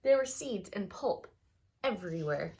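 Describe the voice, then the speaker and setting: A woman reading a picture book aloud for children in an expressive storytelling manner, with short explanatory asides to young listeners. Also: mid-range voice, a little slow.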